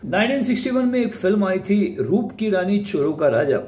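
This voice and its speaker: A man's voice: sits high, sing-song, brisk, a little mellow. A man hosting an informal, explanatory talk on music history, respectful in the way they name artists and mixing in English words. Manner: speaks Hindi with English words mixed in. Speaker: host presenting film music history. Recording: roomy echo, faint background noise.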